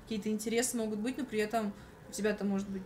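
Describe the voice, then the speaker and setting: A woman's voice: medium pitch, steady tone, racing, dark tone, slight background noise. A young woman co-hosting a stream from a living room, reading chat and reacting in an upbeat way. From Russia. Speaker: stream co-host, reads chat. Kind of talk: casual chat.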